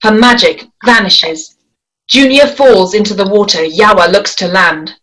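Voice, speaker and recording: A woman narrates stage directions at a screenplay read. Her voice sits at an average pitch. The delivery is scripted narration. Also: measured pace, fairly bright tone, rough recording.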